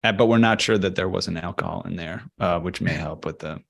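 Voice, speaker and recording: A man's voice: low voice, fairly quick, creaky. Playful male podcast host. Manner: playful, jokey. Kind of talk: casual chat.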